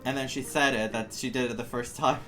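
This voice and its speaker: A woman doing expressive, exclaiming reaction commentary as she plays a story game. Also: extremely low pitch, fairly quick, music in the background. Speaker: game streamer, playthrough host. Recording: game soundtrack under the voice.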